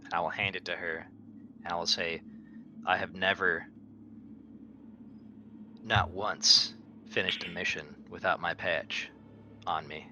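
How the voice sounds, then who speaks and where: A man playing a tabletop roleplaying game, speaking casually and deliberately, partly in character. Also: sits low, fairly even intonation, lo-fi sound, noisy background.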